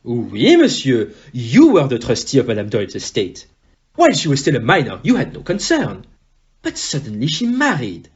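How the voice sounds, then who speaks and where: An actor playing a shrewd, courteous detective in an audio play, with a deliberate, pompous manner. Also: male voice, mid-range voice, huge pitch swings, muffled recording. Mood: probing. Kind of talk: amateur audio play acting.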